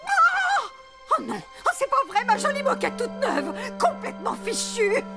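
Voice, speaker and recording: A high-pitched, fussy cartoon mother. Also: huge pitch swings, measured pace, exaggerated voice. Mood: upset, indignant. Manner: scolding, pompous, exclamatory. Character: fussy, strict, house-proud mother, snobbish and easily outraged. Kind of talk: animated children's cartoon dub. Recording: music behind the voice.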